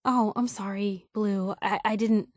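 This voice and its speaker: An actress playing a blunt, caring young woman reporter in a video game, speaking in a casual, wry and emotionally expressive way. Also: mid-range voice, unhurried, no echo, clean studio sound, lightly acted. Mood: vulnerable, sincere. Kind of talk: video game character dialogue.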